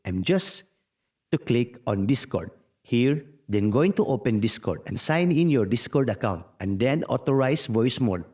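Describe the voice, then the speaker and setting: A man narrating a casual step-by-step software tutorial in an instructional way, with non-native-sounding phrasing. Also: mid-range voice, expressive, unhurried, slightly rough. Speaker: tutorial host.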